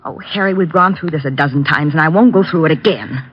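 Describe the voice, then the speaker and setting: A woman's voice: deep voice, fast, slightly rough. A woman playing a scheming, impatient secretary and mistress, hard and coaxing, in an old-time radio drama. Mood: impatient, demanding. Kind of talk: 1940s radio drama acting. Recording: dull old radio recording.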